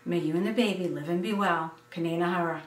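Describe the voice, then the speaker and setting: A woman's voice: fairly low pitch, a little fast, natural voice. Woman acting out an emphatic superstitious mother, roomy sound. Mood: cautioning. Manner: exclamatory, emphatic warnings. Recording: roomy, distant microphone.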